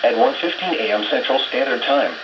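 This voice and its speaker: A synthesized man's voice reading scripted emergency weather warnings as an automated announcer, in a flat, measured delivery over weather radio. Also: medium pitch. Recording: muffled, noisy weather radio broadcast.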